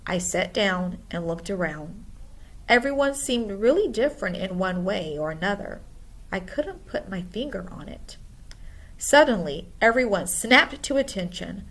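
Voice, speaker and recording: A woman reading a storybook aloud in a deliberate, expressive way. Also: mid-range voice. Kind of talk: storybook read-aloud narration.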